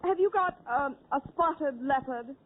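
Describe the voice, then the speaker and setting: A woman's voice: high voice, unhurried. Wry woman in an old radio comedy. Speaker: guest actress. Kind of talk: 1940s radio comedy acting. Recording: tinny 1940s radio broadcast, heavy noise.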